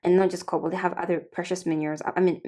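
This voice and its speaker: A woman's voice: lowish voice, little pitch movement, very fast, dark tone. A young woman with an East African accent, hosting a casual talk show and explaining a topic in a chatty way, often correcting herself and laughing at her own slips. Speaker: host.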